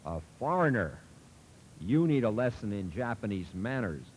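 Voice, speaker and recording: A man doing a gruff, drunk laborer. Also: on the low side, very animated, normal speed, a little hiss or hum. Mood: belligerent. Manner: gruff, roaring. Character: big drunk belligerent male laborer.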